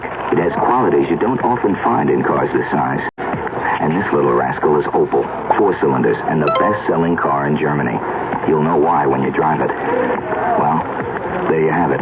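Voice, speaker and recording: Very deep-voiced American radio ad man. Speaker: car commercial announcer. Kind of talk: conversational 1970s radio ad read. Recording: dull, very noisy old radio recording.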